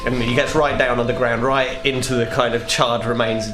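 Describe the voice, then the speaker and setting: A man with a mid-pitched voice improvises his character's actions in a tabletop game. The delivery is casual, and the sound is roomy with music underneath. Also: little pitch movement, normal speed. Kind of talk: casual improvised role-play narration.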